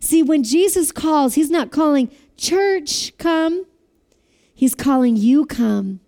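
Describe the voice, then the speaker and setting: A woman preaching a sermon with a loud voice, asking listeners to repeat phrases after her. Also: high-pitched, lively intonation, slow. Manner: asks the audience to repeat phrases.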